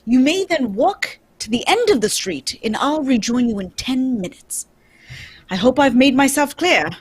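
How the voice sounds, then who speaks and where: A woman playing a confident, scheming gentleman detective in a radio drama, brisk and commanding. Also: high voice, animated, slightly rough, no room sound. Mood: confident, conspiratorial. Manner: brisk, commanding, self-assured. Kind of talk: old-time radio drama script read live.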